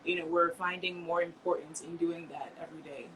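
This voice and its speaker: A woman's voice: fairly low pitch, fairly even intonation, fairly quick, a bit bright, a little hiss or hum, low-quality recording. A woman hosting an interview show, affirming her guest and asking prepared questions. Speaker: podcast host. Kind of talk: interview host.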